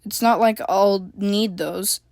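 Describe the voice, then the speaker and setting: A woman voicing a frightened, anxious young girl who stammers and rushes her words. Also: fairly even intonation, vocal fry, a little mellow, no echo, studio quality. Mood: hesitant. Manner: stammering, frantic, high-pitched.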